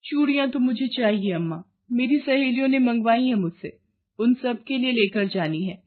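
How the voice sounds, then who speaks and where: Woman voicing a high-pitched, confident rich young lady. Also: animated, normal speed, phone-quality sound, natural voice. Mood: confident, friendly. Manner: bright, polite, decisive. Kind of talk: acted dialogue in a moral story.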